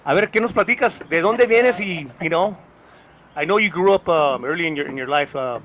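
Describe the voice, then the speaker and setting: A man's voice: fairly high pitch, fairly quick, fairly bright tone. A man co-hosting a casual live chat show, joking around and switching between English and Spanish. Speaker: co-host.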